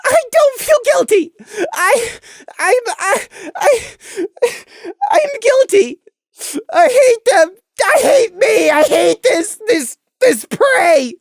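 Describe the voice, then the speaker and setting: A high, cracking young pony stallion, as a woman narrator puts him on, stammering through tears in a storybook reading. He swings wildly in pitch between sobs and shouts, heard in a dry, close recording. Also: sing-song, slow pace, no room sound, heavily acted. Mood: anguished. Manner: stammering, sobbing, breaking into shouts. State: crying. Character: young male pony, broken and self-loathing.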